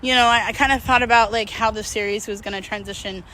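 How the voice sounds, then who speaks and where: A woman's voice: fairly high pitch, steady tone, fast talker, bright, forceful tone, rough recording. A woman talking candidly and casually to her followers while out walking, rambling and self-deprecating as she gives motivational encouragement. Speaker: vlogger. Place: outdoors, on a walk. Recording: outdoor background noise, urban traffic ambience.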